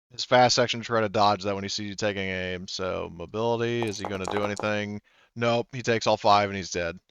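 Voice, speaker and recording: A man's voice: fairly low pitch, fairly bright tone, clean tone, no echo, muffled recording. A man running a tabletop game as game master, talking casually and explaining rules, with some swearing.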